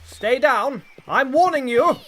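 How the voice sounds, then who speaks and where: A man's voice: extremely high pitch, lively intonation, slow pace, heavy background noise, exaggerated voice. A man playing an officious, exasperated imperial guard in a comedy sketch, barking strained warnings. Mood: alarmed, threatening.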